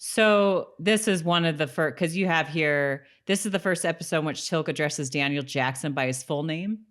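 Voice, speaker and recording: A woman's voice: low voice, normal speed. A woman co-hosting a podcast in casual, informal, bantering chat. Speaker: podcast co-host.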